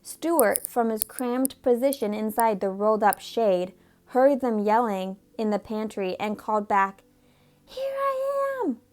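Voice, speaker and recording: A woman reading a children's book aloud in a measured way, stopping now and then to talk about the pictures. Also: medium pitch, darkish tone. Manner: measured, pausing to comment on the pictures. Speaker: storybook reader.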